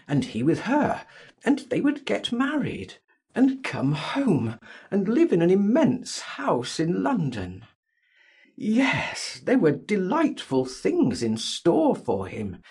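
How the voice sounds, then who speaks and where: A man narrating a literary audiobook in a dramatic, expressive and measured way. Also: on the high side, breathy edge. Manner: theatrical, expressive, measured reading.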